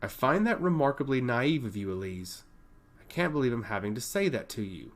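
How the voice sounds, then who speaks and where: Fantasy storybook king, read by a man: stern, weary, skeptical, commanding, varied pitch. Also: big pitch swings, fairly quick, lightly acted. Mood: disappointed.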